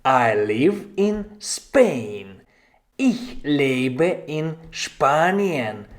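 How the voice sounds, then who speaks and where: Male language tutor for scripted beginner lessons: extremely unhurried, instructional, with animated intonation and deliberate repetition. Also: on the high side. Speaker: language teacher. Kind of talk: scripted language lesson with repeated example phrases.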